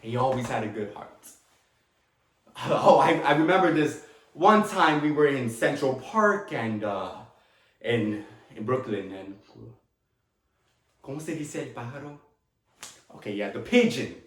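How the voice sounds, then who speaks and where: A man performing a dramatic monologue as a talkative, tender immigrant father with a Latin American Spanish accent, rambling haltingly with small chuckles. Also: mid-range voice, animated, a bit bright. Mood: fond, proud. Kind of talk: dramatic monologue, stage acting. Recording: echoey room.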